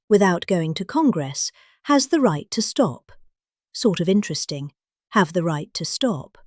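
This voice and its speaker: A middle-aged British woman delivering scripted satirical commentary in a calm, prim, deadpan manner with dry irony. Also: mid-range voice, no echo. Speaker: host reading a politician's words satirically. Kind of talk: scripted satirical commentary and read-aloud quotes.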